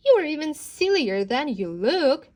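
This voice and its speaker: A woman voicing a brisk, teasing, sporty young girl in a children's storybook. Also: sits high, very animated, darkish tone, voice pushed up. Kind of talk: children's storybook read-aloud character.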